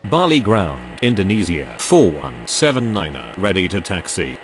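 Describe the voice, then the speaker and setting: A man's voice: very animated, normal speed, soft background music. A man playing a formal, terse air traffic radio operator in a game, speaking in clipped scripted phraseology. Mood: formal, businesslike. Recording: very noisy game audio, vehicle rumble.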